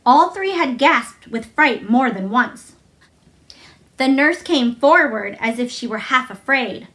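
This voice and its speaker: A woman narrates a children's story aloud. Her voice is high and bright. She reads scripted prose expressively, as a storybook reader. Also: lively intonation, takes it slowly.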